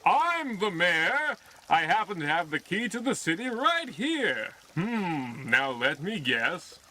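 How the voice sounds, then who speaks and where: A man playing a showy comic townsperson in an exaggerated, drawn-out parody of old radio acting. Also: high-pitched, very animated, sharp tone, slight background noise, heavily acted. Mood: self-important.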